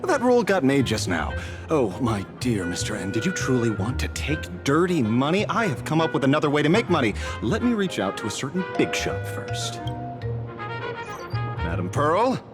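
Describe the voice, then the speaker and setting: An actor voicing a wily older agency boss in a video game, smooth-talking and theatrical. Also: male voice, mid-range voice, big pitch swings, moderate pace, natural voice. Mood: scheming, smug. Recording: game music underneath.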